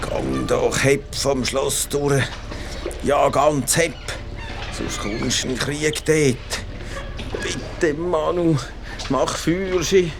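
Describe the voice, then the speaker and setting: Anxious, slow-speaking Swiss man. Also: fairly high pitch, vocal fry, music in the background, heavily acted. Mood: anxious, urging. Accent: Swiss German. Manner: pleading, urgent. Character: anxious man urging on and warning a companion. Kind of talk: children's radio play dialogue.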